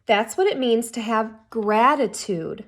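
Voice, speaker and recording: A woman voicing an old grandfather critter in a children's storybook, reflective, grateful and gently explaining. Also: a little high, slow. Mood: thoughtful, grateful.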